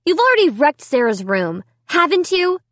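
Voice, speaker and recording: Young heroine for children's horror audiobook, voiced by an adult woman: high, frightened, urgent, stubbornly defiant. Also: very animated, normal speed, fairly bright tone, no echo. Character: frightened, defiant young girl battling a living dummy.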